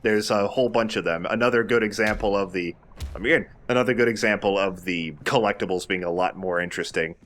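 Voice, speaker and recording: A man's voice: fairly low pitch, a little fast, a bit bright. A man giving casual, opinionated commentary over a video game, explaining how it works as he goes. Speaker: host of a video game let's play.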